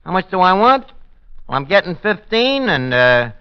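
A man's voice: high voice, very animated, moderate pace, clear, resonant tone. An actor playing a brash New York barman who mangles his grammar, in 1940s radio comedy style. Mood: cocky. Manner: mangles grammar and big words. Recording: muffled old radio broadcast.

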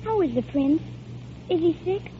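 A woman's voice: very high voice, lively intonation, measured pace. An actress playing an eager, imaginative little girl in old-time radio drama, with a breathless, earnest manner. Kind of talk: 1940s radio drama acting. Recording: noisy, muffled old radio recording.

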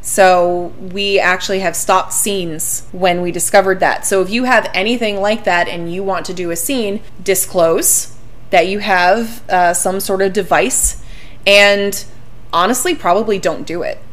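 Animated laughing woman podcast host. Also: mid-range voice, unhurried. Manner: animated, laughs often, emphatic about safety. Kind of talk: casual podcast chat.

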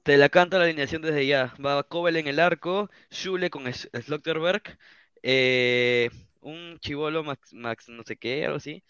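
A man's voice: on the high side, unhurried, fairly bright tone, clean tone, no echo, lo-fi sound. A man on a football panel, eagerly listing team lineups and backing his club. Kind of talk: casual football banter.

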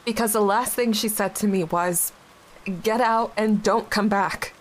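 A woman acting a grieving, guilt-ridden young widowed mother in an audio drama, halting and emotionally raw. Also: mid-range voice, fairly even intonation, natural voice, poor audio. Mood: bitter, angry. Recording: clear background hiss.

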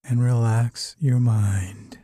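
Slow, very dark-voiced man guiding meditation. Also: mid-range voice, breathy edge, no room sound, studio quality. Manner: calm, unhurried, soothing instruction. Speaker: guided meditation narrator. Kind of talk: slow guided sleep meditation narration.

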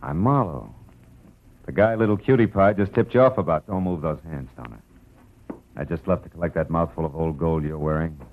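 An actor playing a tough, sardonic, weary private detective in an old radio drama, with wisecracking, clipped slang. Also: male voice, a bass voice, fairly quick. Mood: tough, threatening. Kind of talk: 1940s-50s radio drama acting and noir narration. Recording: muffled old radio recording with hiss.

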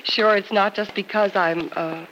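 Casting: coy young nurse, played by an actress, medium pitch, hesitant and frank, clearly noisy old radio recording. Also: female voice, fairly even intonation, a little slow, fairly bright tone, close to an everyday voice. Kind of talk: old-time radio drama acting.

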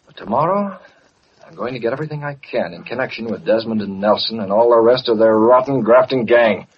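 A man's voice: mid-range voice, animated, brisk, lightly acted. An actor playing a hard, commanding American man in an old-time radio crime drama, speaking in a clipped, decisive way. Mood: grim, determined. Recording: muffled, noisy vintage radio recording.

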